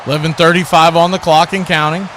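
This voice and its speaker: A male sports commentator with a high, very bright, forceful voice calls live football play-by-play. He is excitable and describes each moment as it unfolds, with a faint noise behind him. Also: quick pace. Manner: excitable, calling action as it happens. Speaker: play-by-play host.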